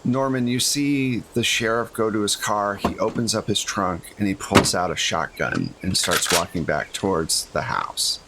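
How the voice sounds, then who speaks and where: A man's voice: lowish voice, expressive, moderate pace, hardly any pauses, very noisy. A man narrating a tabletop roleplaying game as game master, in a casual, conversational style.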